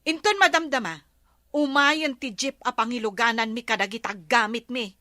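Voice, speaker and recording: A woman's voice: a little high, moderate pace, sharp tone, no echo, studio quality. A woman acting a fed-up, resolute wife and mother in a comedy drama, firm and scolding.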